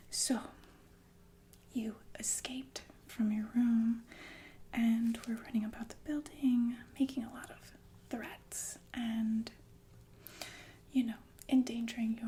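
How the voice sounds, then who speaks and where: A woman playing a sinister, falsely soothing killer nurse in an ASMR roleplay, speaking in a genteel, deliberate breathy whisper with murmured asides. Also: a little high, level tone, mellow, a little hiss or hum. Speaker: ASMR performer.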